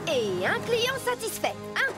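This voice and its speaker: A woman's voice: very high voice, huge pitch swings, a little slow, exaggerated voice. A woman playing a friendly, helpful mechanic truck in a children's cartoon, explaining things with upbeat enthusiasm. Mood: cheerful, helpful. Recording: loud music behind the voice.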